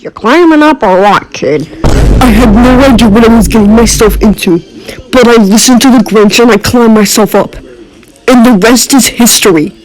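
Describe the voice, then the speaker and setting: A male storyteller with a notably high voice. He reads scripted first-person narration for a comic horror tale. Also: a little mellow, a little hiss or hum, low-quality recording.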